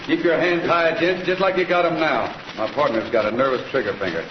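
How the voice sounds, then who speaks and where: A man's voice: on the high side, lo-fi sound, close to an everyday voice. Laconic cowboy hero, male actor, noisy old recording. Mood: firm, commanding. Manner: terse, unhurried, folksy. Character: laconic, steady Western cowboy rancher hero. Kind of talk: old-time radio Western acting.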